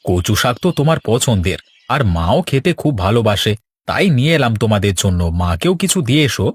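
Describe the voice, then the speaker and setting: A man's voice: lowish voice, big pitch swings, a little fast, breathy edge, dry recording. A man voicing a mild, weary village farm labourer and husband in a cartoon, plain and placid. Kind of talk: cartoon voice acting.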